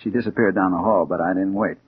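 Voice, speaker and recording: An actor playing a hard-boiled American detective, narrating and acting with dramatic intensity in a 1940s radio crime drama. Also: male voice, low voice, steady tone, fast, slightly rough. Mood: tense, hushed. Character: hard-boiled male private detective bent on revenge. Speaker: narrator and lead character. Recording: muffled old radio recording with hiss.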